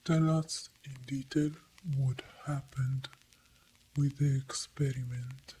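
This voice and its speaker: A woman with an Italian accent playing a cold, stern unseen interrogator in a soft-spoken ASMR roleplay, with a noisy hiss. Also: very deep voice, fairly even intonation, slightly rough, pitched below the performer's own voice, full character voice. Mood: probing. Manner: measured, stern questioning.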